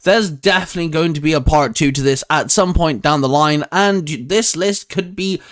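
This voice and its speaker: A man with a slightly high-pitched voice hosts in a casual, conversational style. He explains things in a rambling, loosely structured way, padding his points as he goes. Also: normal speed. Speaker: YouTube host discussing anime. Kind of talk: casual chat.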